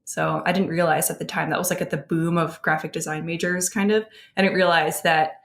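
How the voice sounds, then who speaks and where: A woman's voice: lowish voice, steady tone, a little fast, creaky. An American woman co-hosting a podcast in casual, conversational talk. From southern New Jersey, United States. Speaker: podcast co-host. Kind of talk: casual chat.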